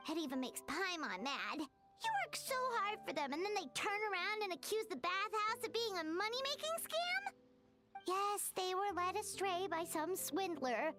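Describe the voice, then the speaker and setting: Tiny childlike fairy sidekick, extremely high squeaky voice, lively swoops, exclamatory, caring, video game acting. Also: female voice. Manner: refers to self by name, exclamatory. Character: small childlike female fairy companion, chirpy, indignant, caring. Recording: music behind the voice.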